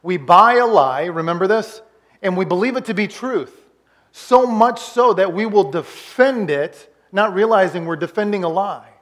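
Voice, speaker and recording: A man's voice: high-pitched, normal speed, a bit bright. A man in his forties preaching a conversational sermon as pastor, often restarting and repeating words. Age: 40s. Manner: conversational, repeats words, puts on quoted voices. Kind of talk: sermon recap.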